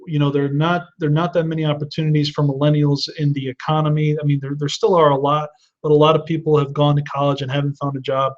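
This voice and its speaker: A man in his thirties hosts a live webinar and answers viewer questions one by one. His voice sits a touch high, and he gives earnest advice, often restarting mid-sentence. The audio is dull and lo-fi. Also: little pitch movement, quick pace. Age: 30s. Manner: earnest advice-giving with frequent restarts and fillers.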